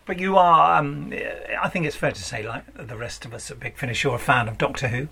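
A man's voice: mid-range voice, fairly quick, creaky, sharp tone. A man hosting a casual podcast chat and interviewing, in a jokey, self-interrupting way.

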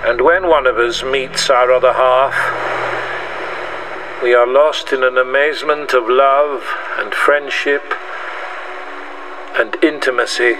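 Wry, tender groom, audio drama male actor; unhurried, hesitant, off-mic amid heavy outdoor noise. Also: mid-range voice, lightly acted. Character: groom reading his vows at a rainy beach wedding, wry, tender. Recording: noisy outdoor scene, distant from mic.

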